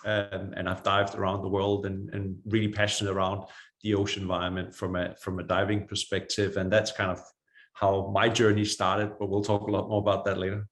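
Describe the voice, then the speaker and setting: A man's voice: sits low, completely flat delivery, a little fast. A middle-aged man from Denmark introducing himself casually, with hesitant restarts. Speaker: guest speaker, diving instructor.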